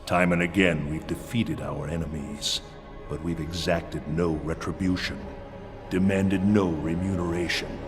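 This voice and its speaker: Low, stern, wrathful warrior god. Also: male voice, fairly even intonation, measured pace. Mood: stern, vengeful. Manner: grave, resolute declamation. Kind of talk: fighting game cutscene monologue. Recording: music behind the voice.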